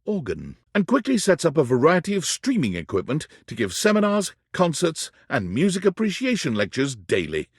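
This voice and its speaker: A man's voice: on the high side, wildly swooping pitch, normal speed, hardly any pauses, breathy edge, dry recording, studio quality, full character voice. A man playing an erudite, theatrical narrator in a whimsical game, delivering florid scripted lines with precise wording. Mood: storytelling, measured.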